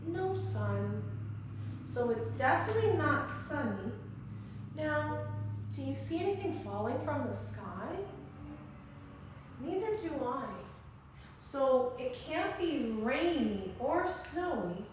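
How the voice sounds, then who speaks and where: A woman teacher leading an interactive children's lesson, encouraging and prompting with questions and pauses, recorded in an indoor room. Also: high voice, slight background noise. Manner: encouraging, instructive, prompting listeners to respond. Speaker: early-grade classroom teacher leading circle time. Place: indoor room with strong echo. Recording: very echoey room, distant microphone.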